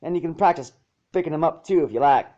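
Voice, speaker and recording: A cheerful country mare from a pony storybook, acted out by a man, friendly and folksy with a twang. The voice sits higher, on a slightly dull recording. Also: fast, mellow, natural voice.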